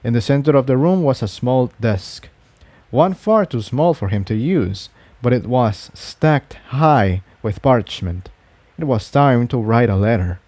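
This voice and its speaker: A man reads an adventure story as its narrator. His voice is very soft-edged and warm in timbre, at an average pitch. The recording is dry, with hardly any room sound. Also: animated, measured pace, dry recording. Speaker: fan fiction narrator.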